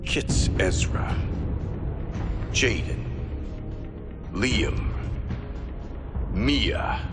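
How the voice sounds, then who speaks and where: An actor playing a harsh, contemptuous military commander in a video game, commanding and deliberate in his scorn. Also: lowish voice, lively intonation. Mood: scornful. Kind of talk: video game cutscene acting. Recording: long hall reverb under loud music.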